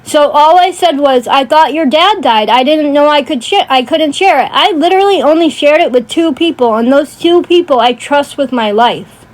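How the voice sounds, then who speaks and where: High-pitched, blunt, sweary woman. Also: expressive, normal speed, low-quality recording. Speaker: livestream host. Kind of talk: casual livestream rant.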